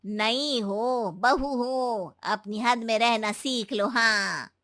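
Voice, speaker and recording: A woman's voice: a little high, extremely slow, a bit bright, dry recording, studio quality. A woman playing a domineering older mother-in-law in a cartoon story, with a nagging, sarcastic way of drawing out her taunts. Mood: scolding, taunting. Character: domineering, scolding older Indian mother-in-law, village matriarch. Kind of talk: Hindi cartoon story dubbing.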